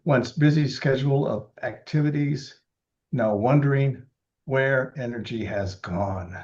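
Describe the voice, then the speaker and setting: A man in his seventies from Los Angeles reads his own poems slowly and deliberately, with halting, chatty asides between them. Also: medium pitch, a little mellow, muffled recording. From Los Angeles, USA. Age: 70s. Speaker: poet reading his libretto. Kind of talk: poetry reading with casual asides.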